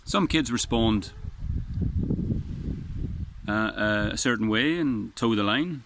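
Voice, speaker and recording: A man's voice: on the low side, lively intonation, slow, a bit bright, rough recording. A man with a strong voice sits outdoors on a beach and talks in an earnest, rambling way, often restarting his sentences. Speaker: vlogger. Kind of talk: casual spoken reflection. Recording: faint wind noise outdoors.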